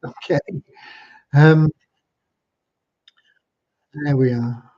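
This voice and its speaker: A man from Cornwall hosting an informal livestream, talking in an unhurried, earnest, slightly preachy way. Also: a little high, steady tone, warm tone. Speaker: livestream host and community leader. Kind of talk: informal livestream updates with devotional talk. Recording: dull lo-fi livestream audio.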